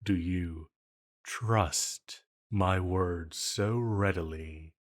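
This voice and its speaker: An ancient villain from an audio drama , acted by a man, speaking in a low register. He is elegant and menacing with a fond streak, and takes his time over every formal phrase, leaving deliberate pauses. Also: heavily acted. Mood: curious, teasing. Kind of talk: scripted intimate audio roleplay.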